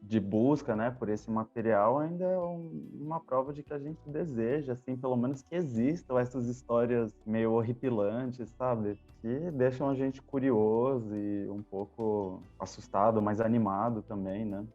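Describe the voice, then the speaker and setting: A man's voice: mid-range voice, moderate pace, hardly any pauses, dark tone. A man with a Brazilian accent chatting informally in an interview, checking in with little tag questions. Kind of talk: casual interview commentary. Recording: dull lo-fi audio over music. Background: background music.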